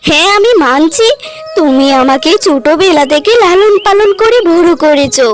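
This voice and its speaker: A woman voicing a haughty, high-pitched young wife. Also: animated, normal speed. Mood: scornful, scolding. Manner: haughty, scolding. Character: vain, selfish, snappy young wife. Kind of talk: cartoon story acting.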